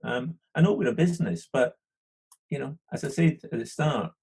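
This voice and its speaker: Gravelly Scottish man, rambling talk. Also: mid-range voice, normal speed, darkish tone. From London. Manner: rambling, full of "you know" and restarts. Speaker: film studio manager, podcast guest. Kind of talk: casual podcast interview.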